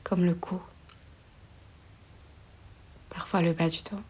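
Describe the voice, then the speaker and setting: A woman guiding a relaxation meditation in a soft, breathy voice often near a whisper, slow and soothing, with pauses between short phrases. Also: fairly low pitch, little pitch movement. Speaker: yoga nidra meditation guide.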